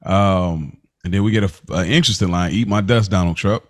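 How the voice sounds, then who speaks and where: A man's voice: low-pitched, fairly quick. A man hosting a podcast, guiding a casual TV recap in conversational speech.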